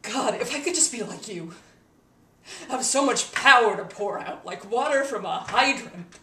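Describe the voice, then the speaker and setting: A woman playing a haunted, embittered young wizard turned killer in a dramatic monologue, intense and halting, swinging between hush and outcry. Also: lowish voice, close to an everyday voice. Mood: bitter, agitated. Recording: echoey room, distant mic, faint noise.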